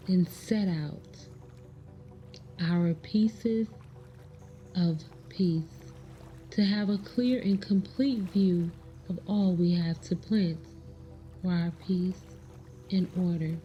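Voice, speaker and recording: A woman narrates a guided meditation at an extremely slow pace. Her voice is soft-edged and mellow, sitting a little low. She speaks in short, measured phrases with pauses between them, over music. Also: muffled recording.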